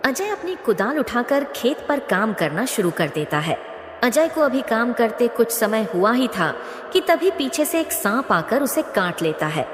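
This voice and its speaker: A woman's voice: a little high, sing-song, measured pace. A woman narrating a scripted cartoon moral story as its storyteller. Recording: echoey, long reverb.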